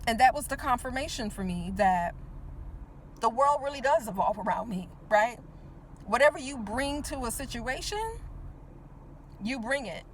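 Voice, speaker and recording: 40s woman giving a pep talk, kinda high voice, keeps going "right?", faint hum and a car going by behind. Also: animated, moderate pace, long pauses. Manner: talks to the viewer with repeated "right?" checks and emphatic repetition. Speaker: teacher and motivational speaker. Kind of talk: casual motivational monologue.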